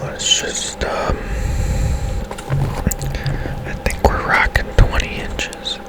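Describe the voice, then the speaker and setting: Slow whispering man, ASMR gamer. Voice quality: breathy unvoiced whisper. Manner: unhurried, hushed musing. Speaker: gaming streamer. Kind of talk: whispered ASMR game commentary.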